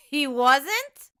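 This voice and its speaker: A woman playing a bright, soothing mother. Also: sits high, full character voice. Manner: coaxing, reassuring. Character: soothing, sensible middle-aged mother and wife. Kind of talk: vintage radio play acting.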